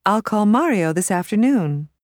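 A woman reads short instructional prompts for a language course. She speaks slowly and carefully, with lively rises and falls in her intonation. The recording is dry and free of room sound. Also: mid-range voice, no room sound.

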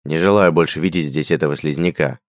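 A man voicing a gruff, brooding, bear-like hunter who speaks in curt, growling lines. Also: extremely low pitch, steady tone, quick pace, no echo, studio quality. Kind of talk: audiobook character voice.

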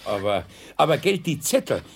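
An actor playing a kindly, easily flustered older craftsman with a Bavarian accent, husky and hesitant, in a children's radio play. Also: medium pitch, big pitch swings, moderate pace, slight background noise. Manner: hesitant, stammering.

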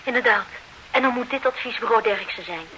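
A woman's voice: on the high side, brisk, very noisy, close to an everyday voice. An actress playing a divorced mother in a radio play, earnestly explaining how she was cheated. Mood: rueful. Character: flustered divorced mother duped by an ad.

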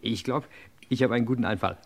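An eager gangster sidekick, played by a male actor in a vintage radio drama. His voice sits at a middle pitch, and he is chummy and ingratiating, keen to prove his loyalty. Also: quick pace, a little mellow, a little hiss or hum, close to an everyday voice. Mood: eager, placating. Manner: chummy, chuckling, protesting. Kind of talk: German crime radio play acting of the 1950s to 80s.

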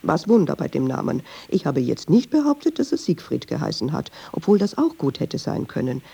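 A woman's voice: low-pitched, big pitch swings, brisk, creaky, dark tone, husky, exaggerated voice. An actress playing a talkative, wry woman storyteller in a German radio play, digressive, colloquial and easily offended. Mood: wry, chatty.

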